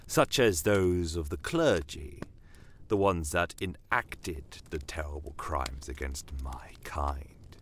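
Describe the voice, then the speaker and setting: Man voicing a deep, slow, menacing demon commander. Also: slight background noise, full character voice. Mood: controlled anger. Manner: measured, theatrical, pointed rhetorical questions. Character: crimson-skinned non-human desert commander, shrewd, bitter, courteously menacing. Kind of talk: dramatic first-person audio story narration. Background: battle aftermath ambience.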